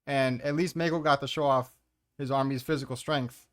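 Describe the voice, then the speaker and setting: A man hosts a casual ranking commentary in a mid-pitched voice. He is blunt and opinionated, ranting with open exasperation and peppering his talk with swearing. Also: fairly even intonation, normal speed, a bit bright. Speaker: host of a manga ranking commentary.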